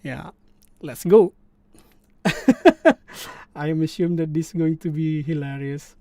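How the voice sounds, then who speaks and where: Indonesian guy hosting a coding stream, kinda high voice, lots of ums and restarts. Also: fairly even intonation, mellow, no echo. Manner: halting, many fillers and restarts. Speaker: live stream host. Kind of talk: casual coding stream chat.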